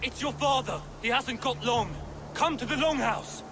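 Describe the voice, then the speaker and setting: An actor playing an urgent Viking messenger calling out in a dramatic video game. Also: male voice, extremely high pitch, moderate pace. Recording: clearly noisy outdoor ambience behind.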